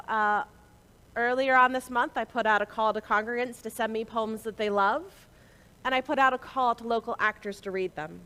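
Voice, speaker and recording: A woman hosting a service, giving an earnest, deliberate spoken introduction to her congregation. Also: a little high, a bit bright. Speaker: service host.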